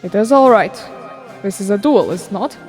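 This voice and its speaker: A woman's voice: medium pitch, expressive, normal speed, a little mellow, in a large hall, soft background music, natural voice. A woman playing a proud blood-fiend father who is weary yet eager for stories, in formal, archaic fandub acting. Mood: weary, composed. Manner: archaic, formal, theatrical diction. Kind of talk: dramatic game fandub acting.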